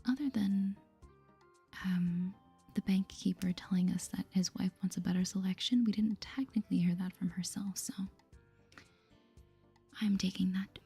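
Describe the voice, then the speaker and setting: A woman voicing a polite, hesitant young shopkeeper heroine from a cozy game in a soft whisper. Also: medium pitch, steady tone, normal speed, long pauses, slightly rough, soft background music. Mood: careful.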